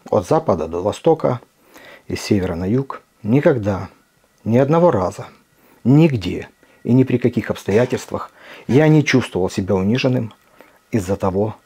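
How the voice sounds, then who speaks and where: A middle-aged man from Ukraine who runs a video blog. He gives an impassioned address straight to camera, speaking earnestly and emphatically with deliberate pauses. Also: mid-range voice, mellow, breathy edge. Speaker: video blogger.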